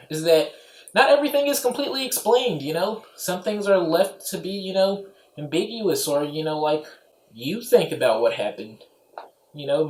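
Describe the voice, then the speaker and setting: A man's voice: a little high, a little mellow. A man giving a casual, offhand movie review, rambling along with lots of filler phrases. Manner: rambling, offhand, peppered with "you know" and "like". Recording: roomy, echoey home recording.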